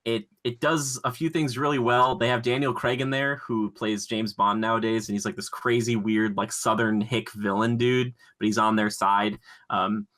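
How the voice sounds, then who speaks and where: A man's voice: fairly low pitch, steady tone, normal speed, fairly bright tone. A man co-hosting a podcast, talking casually and conversationally with enthusiasm about films. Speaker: podcast co-host.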